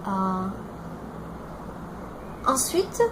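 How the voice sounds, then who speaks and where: A Brazilian woman in her twenties with a Brazilian Portuguese accent, introducing herself in a learned language and pausing often to search for words. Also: medium pitch, sing-song, background noise. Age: 20s. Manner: halting, searching for words, many fillers and restarts.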